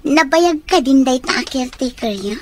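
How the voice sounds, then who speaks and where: A woman's voice: high voice, expressive, normal speed, a little mellow. An actress playing a young woman who asks probing questions in a radio comedy-drama. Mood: curious, uneasy. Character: questioning young woman, grown daughter with a soldier boyfriend. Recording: faint background noise and ambience.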